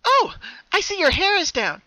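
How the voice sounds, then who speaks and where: Squeaky, bubbly pink cartoon pony. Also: wildly swooping pitch, normal speed, sharp tone, dry recording, heavily acted, rough recording. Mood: chirpy, teasing. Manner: giggly, sing-song, exclamatory. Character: relentlessly cheerful, bubbly, bouncy pink female cartoon pony.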